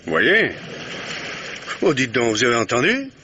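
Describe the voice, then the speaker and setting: An actor playing a shrewd police commissioner in a 1950s radio drama, speaking in a relaxed, casual way. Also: male voice, medium pitch, measured pace, heavily acted. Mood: probing. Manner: relaxed, casual, quietly curious. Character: male police chief on a hunting holiday, shrewd. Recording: old radio recording with hiss.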